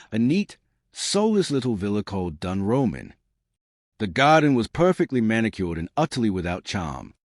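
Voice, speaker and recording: A male audiobook narrator tells a scripted mystery in the first person. His voice sits fairly low and moves expressively, recorded close and dry. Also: no echo.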